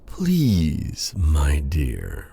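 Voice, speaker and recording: A man playing a proud but tender dark sorcerer in an intimate ASMR roleplay drama, speaking with halting, theatrical courtliness in a breathy, gravelly voice. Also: very deep voice, huge pitch swings, full character voice. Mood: tender, soothing.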